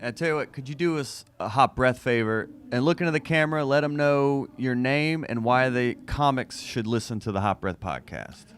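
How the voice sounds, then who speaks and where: A man's voice: mid-range voice, moderate pace, hardly any pauses, a bit bright. A man interviewing a guest as a podcast host, speaking informally with some slang. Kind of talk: casual interview with short interjections.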